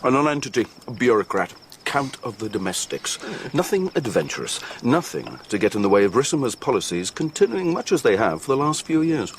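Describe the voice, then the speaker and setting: An actor playing a cautious, dry Roman courtier in a radio drama, guarded and dismissive. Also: male voice, medium pitch, very animated, fairly quick, a little hiss or hum, natural voice. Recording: distant, night-time crickets behind.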